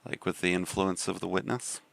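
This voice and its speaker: A man from the Southern US with a deep voice and a Southern accent, chatting casually on a game live stream. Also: fairly even intonation, brisk, creaky, a bit bright, no echo. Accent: Southern American. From Southern United States. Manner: laid-back, rambling with many fillers.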